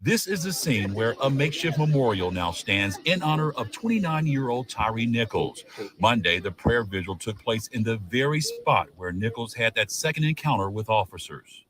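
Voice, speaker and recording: A man's voice: medium pitch, big pitch swings, moderate pace, a crowd in the background, poor audio. A man narrating a scripted television news report.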